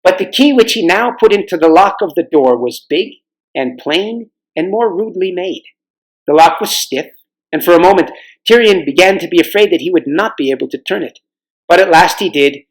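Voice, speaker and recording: Guy reading a storybook out loud, narrator style, voice a bit on the high side. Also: expressive, moderate pace, rough recording. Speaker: volunteer storybook reader. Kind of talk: read-aloud storybook narration.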